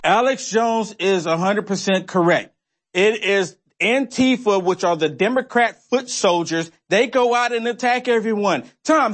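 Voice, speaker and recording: A male talk show host who talks emphatically and fires off rhetorical questions. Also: extremely high pitch.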